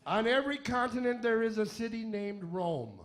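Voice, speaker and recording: A man's voice: high voice, lively intonation, moderate pace. A man hosting a comedy radio quiz, reading out questions in an irreverent, jokey way with wisecracking asides. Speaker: quiz host of a comedy radio variety show. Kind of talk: comic game show hosting with joke asides.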